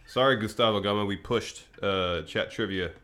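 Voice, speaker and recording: A man's voice: fairly low pitch, normal speed, over music. A man co-hosting a casual livestream, slightly congested with a lingering cough, reading viewer chat in an easygoing way. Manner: easygoing, reads chat aloud. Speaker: podcast co-host.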